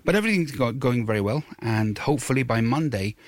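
A man explaining things haltingly as a radio interview guest, often repeating words. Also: medium pitch, lively intonation, dry recording. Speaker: radio guest, show producer. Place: radio studio.

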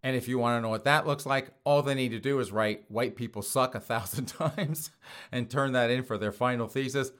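Hoarse male host, sarcastic, laughs through his lines, mid-pitched voice, casual mocking commentary. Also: brisk, fairly bright tone. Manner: sarcastic, laughs often between phrases. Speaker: podcast host.

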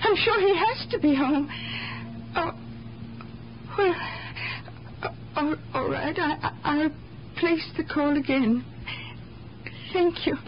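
An actress playing a lonely, fragile old mother in a radio drama, halting and flustered, her voice quavering and tearful. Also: sits high, a little hiss or hum. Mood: anxious, flustered. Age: older. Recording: muffled old radio broadcast, music behind.

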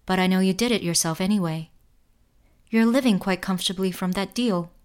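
A woman playing an inquisitive android who poses as a portrait sitter and confronts the artist in an audio drama, in a measured manner. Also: medium pitch, darkish tone, clean tone, lightly acted. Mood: accusing. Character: probing female android posing as portrait sitter.

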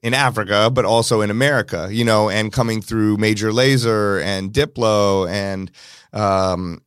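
A man's voice: fairly low pitch, fairly even intonation, unhurried, fairly bright tone, clear, resonant tone, no room sound, studio quality. A middle-aged man hosting a podcast, chatting enthusiastically in long rambling sentences. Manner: enthusiastic, rambling with many "you know"s. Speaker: podcast host. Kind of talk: casual interview.

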